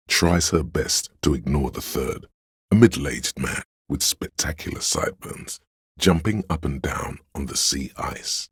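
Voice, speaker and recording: Game narrator: solemn, loyal cop's-instinct voice, rock-bottom bass with heavy gravel, husky, literary storytelling delivered with dry wit. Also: male voice, no room sound, heavily acted. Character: grave, watchful inner voice of police camaraderie, wry and loyal.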